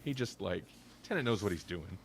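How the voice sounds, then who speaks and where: A man co-hosting a podcast, chatting casually and retelling things in an animated way. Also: sits low, a little fast, a little hiss or hum. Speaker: podcast co-host. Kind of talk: casual chat review.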